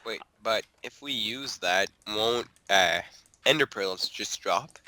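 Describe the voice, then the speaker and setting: A man who is a native French speaker co-hosts a casual gaming podcast. He speaks in a low, bright voice, cutting in with polite, hesitant interjections. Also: slow. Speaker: podcast co-host.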